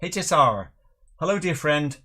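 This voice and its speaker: A man's voice: a little high, animated, unhurried, sharp tone. Chatty middle-aged man hosting a livestream. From Northern Ireland and England. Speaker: host of a live whisky tasting show. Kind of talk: casual livestream chat, reading out viewer comments.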